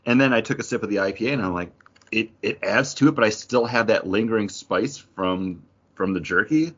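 A man chatting casually as a podcast host, with a playful, enthusiastic manner and a husky voice. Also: fairly low pitch, fairly quick, fairly bright tone, muffled recording. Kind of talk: casual joking podcast chat.

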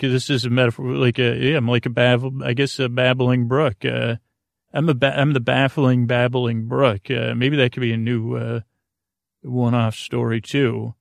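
A man hosting a bedtime podcast, talking in a meandering, halting, repetitive way that trails off into tangents, with a creaky voice. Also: medium pitch, no room sound. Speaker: podcast host. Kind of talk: rambling bedtime podcast monologue.

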